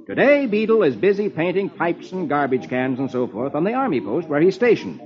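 A man's voice: on the high side, big pitch swings, brisk. A man playing a genial reader of comic strips to children, with theatrical 1940s radio storytelling delivery. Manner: theatrical, dramatic. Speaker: host reading the comics. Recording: dull old radio recording with music.

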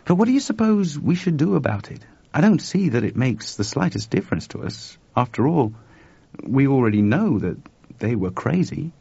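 A man's voice: mid-range voice, sing-song, moderate pace, vocal fry, warm tone, breathy edge, no room sound, voice pushed up, full character voice. A man voicing a skeptical, grumbling male engineer in an audiobook story, wry and colloquial. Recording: dull lo-fi dry recording.

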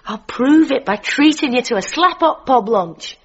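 A woman playing a bossy, breezy, down-to-earth character with a British accent in a comedy audio drama, brisk and scolding. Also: high-pitched, lively intonation, music in the background. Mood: cheerful. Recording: dull recording with slight hiss.

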